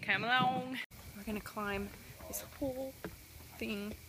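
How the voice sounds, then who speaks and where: Bright-voiced, giggly young woman vlogging. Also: a little high, measured pace, a little hiss or hum, poor audio. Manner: giggly, teasing, excitable. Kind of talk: casual travel vlog chat.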